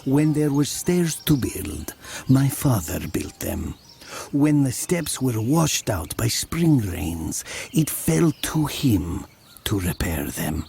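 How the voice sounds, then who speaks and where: Slow, gravelly villager. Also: male voice, medium pitch, sing-song, a little mellow, a little hiss or hum, full character voice. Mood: brooding, bitter. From southern Italy. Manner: slow, measured, theatrical, with pauses. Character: grown Italian village man, brooding storyteller of his past. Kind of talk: dramatic first-person audio drama narration.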